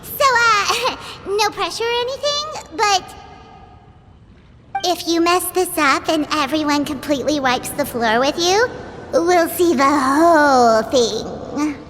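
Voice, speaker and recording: A woman voicing a cheeky, teasing youngster in a video game, with a casual, drawn-out delivery. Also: very high-pitched, a bit bright, heavily acted. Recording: very noisy, long hall reverb.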